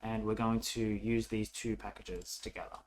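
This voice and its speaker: Low, creaky-voiced man teaching casually. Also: steady tone, normal speed, darkish tone, a little hiss or hum. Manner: informal, thinking aloud. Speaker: programming tutorial instructor. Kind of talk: casual coding tutorial narration.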